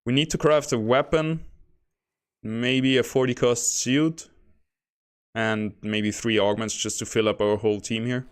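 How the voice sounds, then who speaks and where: A man chats casually over his gameplay stream in a soft, low-key voice at a middle pitch. He describes his own delivery as monotone, a kind of bedroom voice. He drifts between topics and chuckles between remarks. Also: a little slow, smooth. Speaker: game streamer. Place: home.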